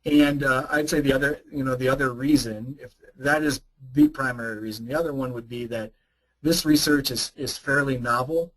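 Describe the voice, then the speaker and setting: A hoarse man, a researcher, answering webinar questions in a measured, explanatory way. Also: mid-range voice, steady tone, a bit bright, poor audio.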